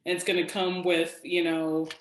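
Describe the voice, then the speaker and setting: Lower-voiced woman in an echoey room. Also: flat delivery, normal speed. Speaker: participant giving script feedback. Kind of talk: casual spoken critique. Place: echoey room, on a video call. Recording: roomy, echoey video call audio.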